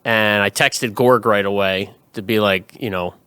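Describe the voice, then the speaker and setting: A man on a sports podcast panel, chatting casually with quick, teasing interjections. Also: fairly low pitch, steady tone, pushed and bright, clear, resonant tone, no echo. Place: podcast studio.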